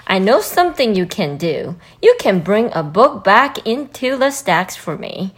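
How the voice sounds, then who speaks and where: A man voicing a prim high-voiced librarian lady. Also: big pitch swings, measured pace, fairly bright tone, full character voice. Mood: cheerful. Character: prim woman librarian, strict and kind. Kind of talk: storybook read-aloud.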